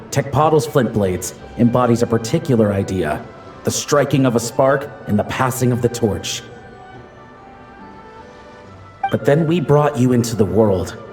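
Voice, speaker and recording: Tender, mellow adventurer dad, played by a man. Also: mid-range voice, natural voice. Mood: solemn, tender. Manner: tender, measured, sincere. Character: loving, wise, gently teasing adventurer father. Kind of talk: video game voice acting.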